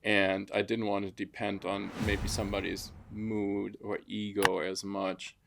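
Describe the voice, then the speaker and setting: Low, creaky-voiced German man. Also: completely flat delivery, measured pace, heavy background noise. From Frankfurt, Germany. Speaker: interview guest, construction business founder. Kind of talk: casual interview, personal storytelling.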